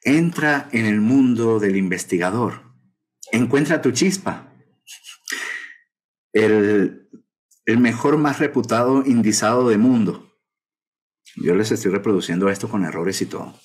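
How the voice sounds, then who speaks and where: A man's voice: lowish voice, husky. A middle-aged man gives a conversational conference talk over slides, often adding rhetorical tag questions. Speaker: lecturer on academic publishing. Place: indoor room at a desk. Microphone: video call webcam mic.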